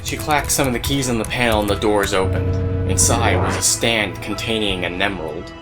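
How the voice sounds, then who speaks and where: A man's voice: lowish voice, a little fast, over loud music. A man narrating an audio drama as storyteller, with casual asides to the listener.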